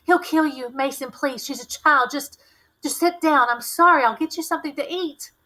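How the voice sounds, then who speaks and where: Woman acting scared, pleading mother, high voice. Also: normal speed, bright, forceful tone, low-quality recording. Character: frightened mother pleading to calm a violent husband.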